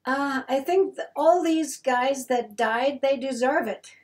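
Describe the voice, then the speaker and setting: Woman, German-English accent, panel guest, sincere and plain, fairly high pitch. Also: slow, darkish tone. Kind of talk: panel chat. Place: small studio with a world map.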